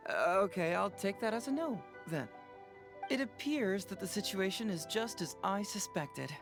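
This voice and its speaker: A man's voice: sits high, lively intonation, moderate pace, music in the background. An actor playing a shrewd young male detective in a video game, probing and playfully skeptical.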